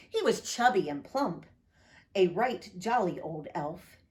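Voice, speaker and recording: Slow, expressive woman pastor reading aloud. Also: mid-range voice. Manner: expressive, lilting read-aloud delivery; friendly direct address to listeners.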